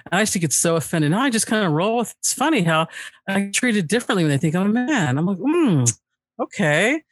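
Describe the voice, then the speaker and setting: A woman with a deep voice co-hosting a casual talk show, lively and playful. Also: fast talker, dry recording, clean studio sound. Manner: lively and playful, laughs easily. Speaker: co-host.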